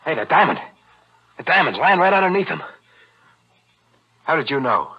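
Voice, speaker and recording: An actor playing a desperate, greedy killer in a 1940s radio thriller, with rough, slangy, excitable talk. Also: male voice, a little high, moderate pace, heavily acted. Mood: excited, greedy. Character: desperate, greedy killer hunting for a stolen diamond. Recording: dull, faintly noisy 1940s radio recording.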